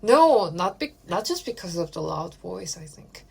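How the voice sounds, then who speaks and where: Korean woman podcast co-host, lowish voice, hesitant with lots of fillers. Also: very animated, moderate pace, vocal fry, a little mellow, husky. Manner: hesitant, many fillers, frequent laughs. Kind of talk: casual chat.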